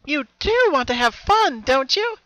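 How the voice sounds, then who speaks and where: A man's voice: very high voice, big pitch swings, a little slow, voice pushed up, exaggerated voice. A man voicing a chipper, menacing cartoon pony girl who taunts playfully in a sing-song way. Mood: taunting, playful. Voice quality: bright, echoing call.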